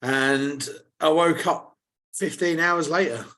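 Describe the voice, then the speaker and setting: A man, slightly hoarse, co-hosting a casual podcast and telling stories with lively, laddish banter. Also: on the high side, slow. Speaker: podcast co-host. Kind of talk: casual chat.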